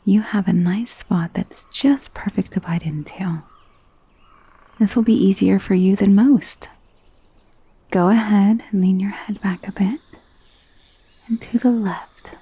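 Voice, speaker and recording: A woman voicing a gentle, doting female vampire in an ASMR roleplay, speaking in a soft, intimate, soothing way that often drops toward a whisper. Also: mid-range voice, unhurried, pauses often, slightly rough. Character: gentle, coaxing female vampire mistress of a mansion.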